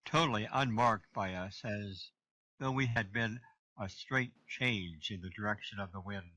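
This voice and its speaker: A rueful young man played by an actor recalls an indifferent lover in a radio play. The voice is bright, and the recording is close and dry. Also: lowish voice, unhurried, dry recording.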